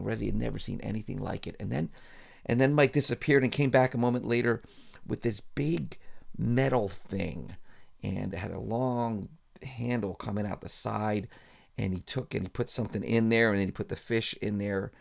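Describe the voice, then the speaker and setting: A man with a low, heavily creaky voice tells a bedtime story. His delivery is folksy and informal, like a pastor making it up as he goes, repeating phrases along the way. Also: fairly even intonation, moderate pace, a little mellow.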